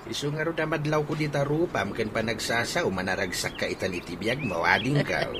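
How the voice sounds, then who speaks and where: Animated older father acted by a man. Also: medium pitch, brisk, natural voice. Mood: warm, curious. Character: easygoing older father and big brother. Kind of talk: Ilocano radio comedy drama acting. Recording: radio drama with clear background noise.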